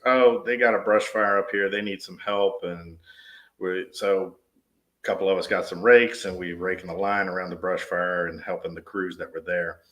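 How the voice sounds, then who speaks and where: A man in his forties from the US East Coast, speaking low but with a bright, forceful tone. He tells long stories in a casual, meandering way, often restarting his sentences. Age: 40s. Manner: rambling with frequent restarts. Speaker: podcast guest, dispatch shift supervisor. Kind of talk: casual interview storytelling.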